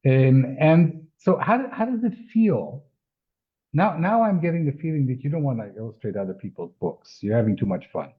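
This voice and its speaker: A man hosting an interview, asking questions hesitantly with many fillers and pauses. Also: mid-range voice, lively intonation, husky. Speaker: interview host. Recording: tinny phone-like line.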